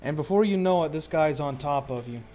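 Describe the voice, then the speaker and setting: A man's voice: fairly high pitch, lively intonation, fairly quick, mellow, soft background music. A man acting as game master, casually narrating a tabletop roleplaying game and talking informally and hesitantly as he checks the rules.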